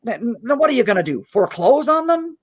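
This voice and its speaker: A man plays a kind-hearted small-town loan manager in a radio drama, his pitch swinging widely. He stammers and halts, flustered and earnest, as if always trying to persuade. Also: big pitch swings, husky, full character voice. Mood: anxious, pleading. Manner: stammering, halting, pleading. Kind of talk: live radio play acting.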